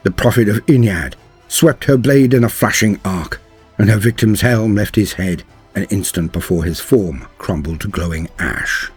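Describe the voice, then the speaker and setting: Deep, husky male dramatic narrator. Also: normal speed, darkish tone. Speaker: narrator of fantasy war lore. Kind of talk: scripted dramatic storytelling narration. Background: music.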